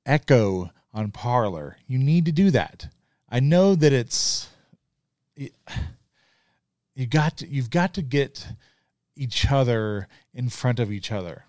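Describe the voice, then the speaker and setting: A man's voice: mid-range voice, lively intonation, takes it slowly, pauses often, a little mellow, no room sound, studio quality. A middle-aged man hosting his own podcast, talking earnestly in a casual monologue with frequent ums and restarts. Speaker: podcast host.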